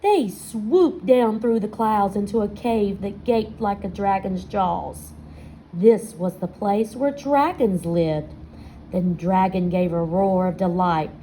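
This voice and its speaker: A woman reads a children's picture book aloud at a slow, measured pace. Her voice is soft-edged and mellow, and she tells the story expressively, speaking directly to young listeners. There is faint noise in the recording. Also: mid-range voice, low-quality recording. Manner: expressive storytelling, pausing to ask listeners questions. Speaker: storybook reader.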